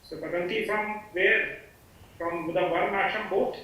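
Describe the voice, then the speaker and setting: A man's voice: high-pitched, fairly even intonation, unhurried, pushed and bright. A man speaking as a student, hesitantly putting questions to a teacher in class. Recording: far from mic in echoey room.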